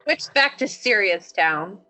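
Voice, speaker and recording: A woman playing a tabletop role-playing game, bantering casually in an animated, sweary, exclaiming way. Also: medium pitch, unhurried, dry recording, rough recording.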